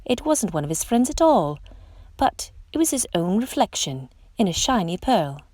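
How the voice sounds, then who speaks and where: A woman reading a picture book aloud to children in an expressive, dramatic storytelling style. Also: mid-range voice, normal speed, no room sound.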